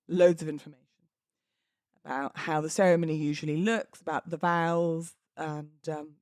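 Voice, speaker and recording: A woman's voice: low-pitched, darkish tone, dry recording, studio quality. A British woman in her thirties chatting casually and expressively as a solo podcast host, in an informal, slangy way. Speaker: podcast host and wedding celebrant.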